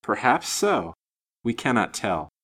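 A man voicing an honest, earnest young gentleman in an audiobook story, speaking formally and steadily with gentle rebuke. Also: fairly low pitch, very animated, slow, breathy edge, heavily acted. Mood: gently firm. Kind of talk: dramatised audiobook reading.

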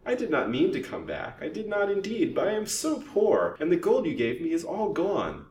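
A man's voice: very animated, normal speed. A man voicing a sly, greedy old woman who peddles lace, whining and wheedling as a storybook character. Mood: piteous whining. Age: older. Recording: roomy, distant microphone, faint rumbling noise.

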